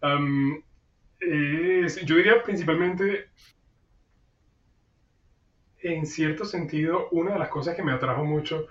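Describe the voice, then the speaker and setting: A man's voice: a little high, measured pace, a bit bright, reverberant, far from the mic, muffled recording. A man being interviewed over a video call, answering thoughtfully with many fillers and restarts. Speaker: guest. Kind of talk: casual interview.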